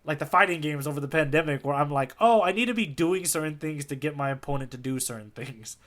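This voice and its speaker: Bright-voiced, higher-pitched man explaining excitedly. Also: fast. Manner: animated explainer who often checks with "right?". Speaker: podcast co-host explaining a manga fight. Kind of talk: casual conversational explanation.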